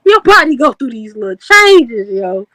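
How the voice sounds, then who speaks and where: A woman's voice: fairly high pitch, very animated, measured pace, a bit bright, dry recording. A woman in her late thirties from Chicago, chatting candidly and casually on an online panel in slangy, laid-back talk. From Chicago, USA. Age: 30s. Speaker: panel guest.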